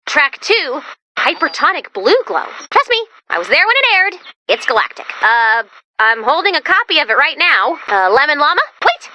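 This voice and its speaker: Casting: quirky video game robot sidekick; very high, swooping delivery, peppy, theatrical, quick with dry quips. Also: female voice, big pitch swings, bright, forceful tone, heavily acted. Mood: chirpy, businesslike. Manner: peppy, quippy, theatrical, swinging into dry asides. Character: perky, quirky, chatty robot helper guiding a commander. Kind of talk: animated video game voice lines.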